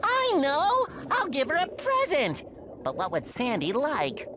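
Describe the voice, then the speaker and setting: A man's voice: very high-pitched, very animated, moderate pace. A man voicing a naive, eager sea sponge in a cartoon video game, earnest, childlike and exaggerated. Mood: cheerful musing. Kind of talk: animated video game voice acting. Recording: noticeable background noise.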